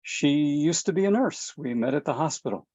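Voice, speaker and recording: Casting: a woman reading a joking middle-aged dad, very deep voice, dull dry recording. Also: animated, moderate pace, mellow, husky, no room sound. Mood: easygoing. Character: easygoing middle-aged father who jokes. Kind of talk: table read.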